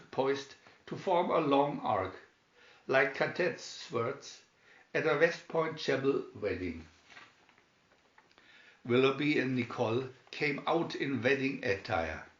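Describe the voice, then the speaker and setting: A man reading a children's adventure story aloud with measured, deliberate phrasing, recorded in an echoey room. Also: mid-range voice, fairly bright tone, dull audio. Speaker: storybook narrator.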